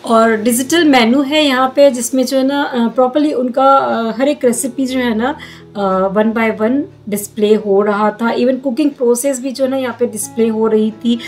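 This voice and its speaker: A woman's voice: fairly high pitch, fairly even intonation, unhurried. Woman vlogger, 40s, casual filler-heavy chat into a handheld phone, faint music behind. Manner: chatty, mixes in English phrases, many "jo hai na" fillers. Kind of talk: casual vlog narration. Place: car and busy restaurant. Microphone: phone camera at arm's length.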